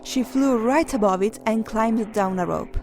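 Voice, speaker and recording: A woman's voice: on the high side, a little slow, a little mellow, soft background music. A woman narrating a children's adventure story from a script.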